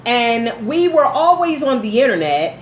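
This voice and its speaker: Female interview host: lively, varied intonation, gushing and supportive, chatting live in an echoey room. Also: a little high, unhurried. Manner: effusive, encouraging. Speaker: radio show host. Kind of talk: live radio interview chat. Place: indoor room at a live online broadcast. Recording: roomy live sound, faint noise.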